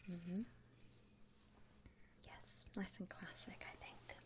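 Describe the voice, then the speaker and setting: A woman whispering breathily in an ASMR roleplay as a polite shop assistant, gently reassuring a customer with hesitant, accommodating patter. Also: fairly low pitch, level tone, slow pace. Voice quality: breathy, mostly unvoiced whisper. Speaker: ASMR roleplayer playing a coffin shop saleswoman.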